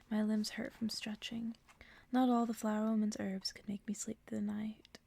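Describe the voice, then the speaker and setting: A woman speaking in a soft, hushed whisper in the style of an ASMR bedtime reading. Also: medium pitch, creaky. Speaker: ASMR creator reading stories to listeners.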